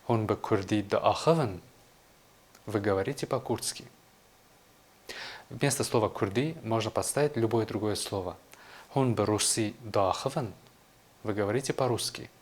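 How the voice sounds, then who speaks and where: A man giving a language lesson, measured and clear, saying each phrase and then translating it. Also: lowish voice. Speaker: language teacher. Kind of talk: scripted video lesson.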